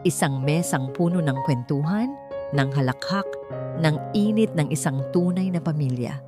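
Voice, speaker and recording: A woman narrating a dramatic family story in a slow, measured way. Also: low-pitched, lively intonation. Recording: dry close mic with faint music.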